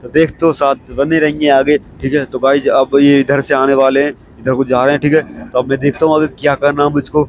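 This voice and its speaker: Hoarse young guy with a cold vlogging on a train platform, flat voice, clip mic, a bit of traffic noise. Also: medium pitch, fairly quick, hardly any pauses, rough recording. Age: young adult. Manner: chatty and repetitive, keeps saying okay. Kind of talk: casual vlog talk to camera. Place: railway station platform, outdoors.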